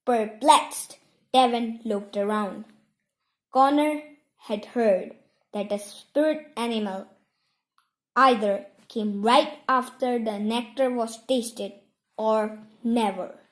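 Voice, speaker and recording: A woman reading a story aloud as scripted narration, measured, in short separated phrases. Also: on the high side. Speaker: audiobook reader. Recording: roomy, echoing home recording.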